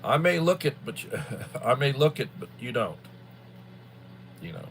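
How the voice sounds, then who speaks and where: A man's voice: mid-range voice, very animated, measured pace, a bit bright. Man in his sixties, rambling over background hum. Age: early 60s. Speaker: livestream host, school worker. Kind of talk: casual rambling livestream chat.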